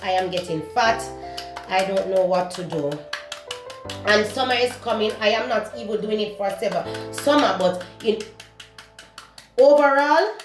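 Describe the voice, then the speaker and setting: A young woman with a Jamaican accent, whose first language is Patois, talks candidly to the camera in her home kitchen. She often pauses to search for words. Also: medium pitch. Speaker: beauty vlogger. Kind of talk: casual talk-to-camera beauty vlog. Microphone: camera close to the face. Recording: echoey kitchen with background music.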